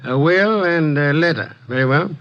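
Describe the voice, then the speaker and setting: An actor playing a shrewd, formal private detective who gives orders in precise, commanding speech, in a 1950s radio drama. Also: male voice, fairly high pitch, moderate pace, fairly bright tone. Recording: dull lo-fi old radio recording.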